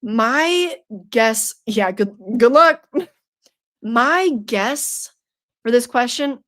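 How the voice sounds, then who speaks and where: A woman's voice: a little high, animated, very slow, fairly bright tone. A young woman hosting a live stream, chatting casually with her viewers, playful and teasing, laughing often. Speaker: streamer hosting a horror trivia night.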